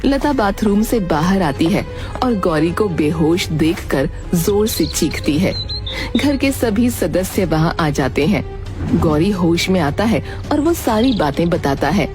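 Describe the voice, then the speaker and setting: Low-voiced woman storyteller over music. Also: lively intonation, unhurried. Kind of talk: scripted narration of an animated story.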